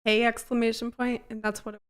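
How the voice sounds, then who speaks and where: A woman chatting casually with her husband on a livestream, quick to chime in and agree. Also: on the high side, level tone, clear, resonant tone. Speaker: co-host, wife. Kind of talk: casual couple chat on a livestream.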